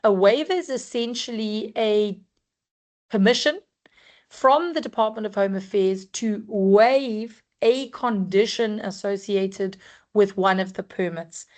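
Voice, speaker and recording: A woman from South Africa with a croaky voice, presenting a work-compliance webinar in a measured, explanatory way with frequent ums. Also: mid-range voice, darkish tone. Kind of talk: scripted-free webinar talk.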